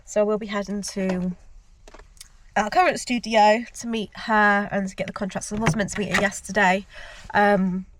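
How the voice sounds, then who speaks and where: A woman's voice: mid-range voice, flat delivery, moderate pace, a little hiss or hum. A woman with a blocked-up cold, chatting casually to her camera from her car about her work as a nail tech, rambling with lots of ums and self-corrections. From United Kingdom. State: congested with a cold. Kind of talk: casual vlog chat to camera. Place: inside a parked car. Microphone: handheld camera.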